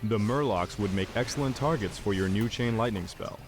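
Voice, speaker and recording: Low-voiced formal male actor as tutorial narrator. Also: music in the background, natural voice. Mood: instructive. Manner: formal, measured, instructive. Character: formal male game tutorial guide, patient instructor. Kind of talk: scripted video game instruction.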